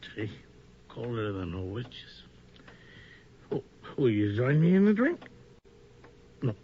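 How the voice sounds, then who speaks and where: An actor playing a bitter, drunken manservant in a theatrical radio horror drama, muttering to himself. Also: male voice, medium pitch, very animated, slow pace. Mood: bitter, gloating. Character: bitter drunken manservant, resentful, then terror-stricken by a ghost. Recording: muffled radio drama recording, faint noise.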